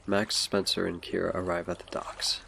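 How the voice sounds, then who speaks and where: A man's voice: sits low, level tone, normal speed, vocal fry, pushed and bright. A man delivering scripted narration as the narrator of an audio drama.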